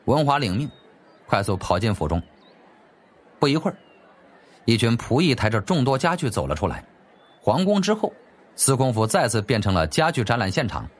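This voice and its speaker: A man's voice: medium pitch, big pitch swings, normal speed, long pauses. A man narrating a scripted story as a dramatic audiobook storyteller.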